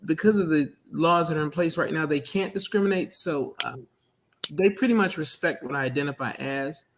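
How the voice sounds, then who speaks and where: A man with a soft, not very deep voice, answering interview questions over a video call in a hesitant, halting way. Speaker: guest, minister.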